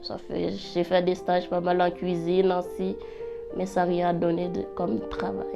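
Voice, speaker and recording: A woman whose first language is not French, an adult literacy learner giving a calm, plainspoken personal testimony. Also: on the low side, unhurried, gravelly, over music. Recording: dull lo-fi recording.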